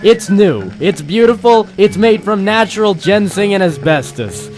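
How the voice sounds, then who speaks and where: An actor playing a cheery, slick pitchman in a parody old-time radio commercial. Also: expressive, a little slow, a little mellow, low-quality recording. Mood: salesmanlike. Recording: music under the voice.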